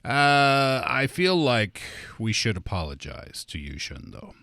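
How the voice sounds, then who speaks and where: A man hosts a casual studio chat with a very lively, swooping delivery. His voice is bright and forward, recorded dry and close, and his manner is playful. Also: medium pitch, takes it slowly, gravelly, dry recording. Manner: playful, conversational. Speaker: podcast host. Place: podcast studio.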